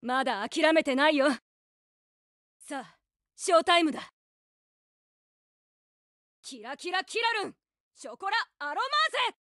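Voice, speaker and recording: A woman voicing a cool, princely teenage girl hero in an anime game, speaking in a calm, gallant and gentle way. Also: sits high, measured pace, long pauses, dry recording, clean studio sound, exaggerated voice.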